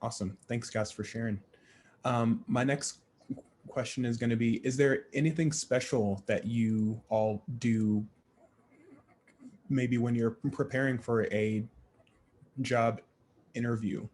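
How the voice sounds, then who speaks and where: A man moderating a panel discussion in a friendly, encouraging and measured way. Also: mid-range voice, steady tone, a little mellow, a little hiss or hum.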